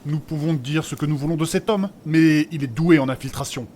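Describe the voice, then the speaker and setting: An actor playing a formal, principled religious mage in an audio fantasy comedy, courteous and wordy in an old-fashioned way. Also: male voice, fairly high pitch, brisk, a little mellow, slight background noise, natural voice. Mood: earnest.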